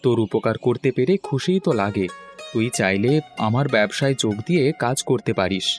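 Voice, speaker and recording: A man's voice: medium pitch, animated, a little fast, soft background music, close to an everyday voice. A man playing a kind, earnest village fish seller in a cartoon, plain-spoken and easily discouraged. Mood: kindly. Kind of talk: cartoon voice acting.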